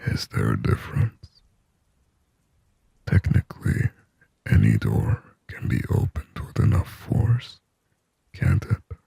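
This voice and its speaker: A man acts out a creepily tender male demon from an ASMR horror roleplay. The voice is extremely deep and full of creak, mostly sinking into a dark, breathy whisper. He speaks haltingly and intimately, possessive yet shy, in very dry close sound. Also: huge pitch swings, no room sound, heavily acted. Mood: anxious musing. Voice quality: breathy, husky whisper with low gravelly creak. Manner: slow, halting, hushed, intimate.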